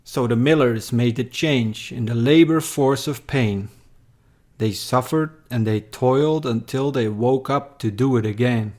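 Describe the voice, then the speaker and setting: Male narrator for dramatic verse: unhurried, solemn, medium-pitched storytelling. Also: darkish tone. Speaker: narrator, poem reader. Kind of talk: scripted dramatic poetry narration.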